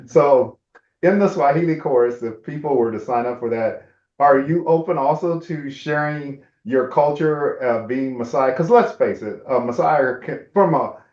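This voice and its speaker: A man's voice: medium pitch, normal speed, darkish tone. An American man with an American accent, hosting a casual conversational interview. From United States. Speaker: interview host. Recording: roomy, echoey, slightly dull audio.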